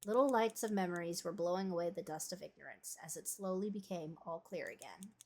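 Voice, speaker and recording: A woman's voice: on the low side, brisk, darkish tone. A woman voicing a grieving, guilt-ridden young woman who narrates a visual novel in a brooding, self-questioning way. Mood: subdued, awed. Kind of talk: dramatic first-person visual novel narration.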